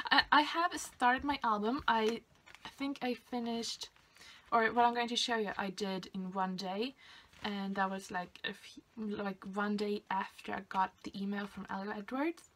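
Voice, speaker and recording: A woman's voice: fairly high pitch, a bit bright. Chatty Swedish woman with a light voice. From Sweden. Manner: chatty, hesitant, many fillers. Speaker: craft vlogger. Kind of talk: casual show-and-tell.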